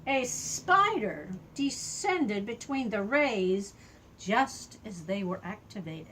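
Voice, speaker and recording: An older woman reading a children's story aloud as a grandmotherly storyteller, adding simple, expressive asides to explain things to a small child. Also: medium pitch, takes it slowly, a little hiss or hum.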